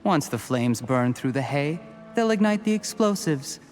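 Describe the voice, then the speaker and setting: A man voicing a scheming game villain, cool and menacing, his voice pitched a little high. He lays out his plot in a calm, gloating tone while faint music plays underneath. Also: moderate pace, lightly acted. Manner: calm, menacing, drops to a hushed whisper. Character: scheming male official, smooth villain. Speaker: game character.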